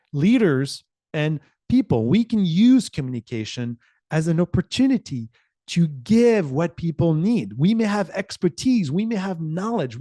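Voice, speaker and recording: A man from France, a communication professor, telling a short inspiring personal story with earnest, emphatic pauses. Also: fairly high pitch, mellow, no echo, clean studio sound.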